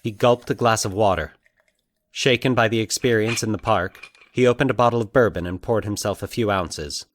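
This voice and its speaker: Male narrator, somewhat low voice, measured pacing, dramatic scripted storytelling. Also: a little hiss or hum.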